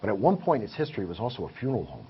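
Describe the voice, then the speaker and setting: A man's voice: on the low side, wildly swooping pitch, rapid-fire. A man who is a paranormal investigator, talking as a guest in a casual interview and telling his findings in earnest detail. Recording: muffled, with faint hiss.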